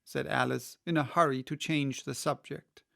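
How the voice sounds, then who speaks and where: A man narrating a storybook as an audiobook reader, expressive and unhurried. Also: medium pitch, vocal fry.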